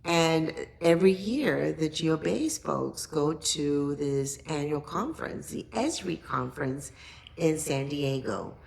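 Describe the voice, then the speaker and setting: A woman's voice: low-pitched, expressive, takes it slowly, vocal fry, a little mellow. A woman guest on a podcast casually telling an anecdote, voicing quoted exchanges in a conversational way.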